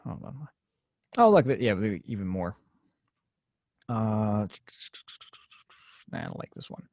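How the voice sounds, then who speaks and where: A man teaching a software class over a video call, explaining casually with lots of fillers. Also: fairly low pitch, big pitch swings, takes it slowly, dry recording, clean studio sound. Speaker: class instructor.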